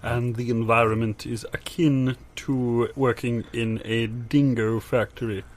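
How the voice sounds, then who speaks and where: A man's voice: medium pitch, little pitch movement, a little slow, lightly acted. A man playing a polite, patient office interviewer in improvised comedy, formal, placating and apologetic. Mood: apologetic, coaxing. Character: polite, patient male office interviewer calming anxious job applicants.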